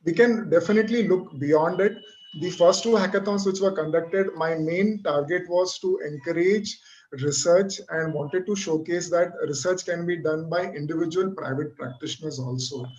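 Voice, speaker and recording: A man's voice: fairly high pitch, moderate pace, darkish tone. A man from India answering panel questions hesitantly, with many filler sounds, in an online webinar. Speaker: hackathon organizer presenting. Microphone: computer mic on video call. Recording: muffled lo-fi webinar audio.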